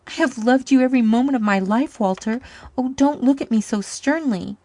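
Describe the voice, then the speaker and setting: A heartbroken young woman, pleading and tearful, as read by a woman narrator. Her voice rises noticeably higher than the narration around it. The recording is close and dry. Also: takes it slowly, gravelly, warm tone, no echo. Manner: tearful, pleading, raised pitch.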